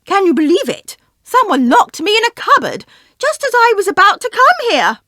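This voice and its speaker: A man reading a storybook and voicing a hurried, flustered woman doctor in a high voice. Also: huge pitch swings, pushed and bright, no room sound, clean studio sound, full character voice.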